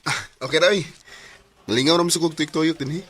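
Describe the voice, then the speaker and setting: An actor playing a polite, good-natured young man in a radio drama, with a slightly husky voice and a Cebuano accent. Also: on the high side, fast talker, a bit bright. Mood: friendly. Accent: Cebuano Filipino. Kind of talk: Philippine radio drama acting.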